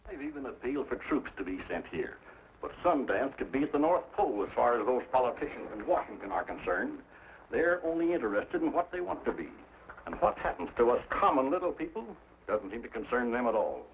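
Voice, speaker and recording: Formal frontier official, noisy soundtrack. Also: male voice, medium pitch, big pitch swings, fairly quick. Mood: grumbling. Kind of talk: 1950s television Western acting. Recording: dull, noisy 1950s TV soundtrack.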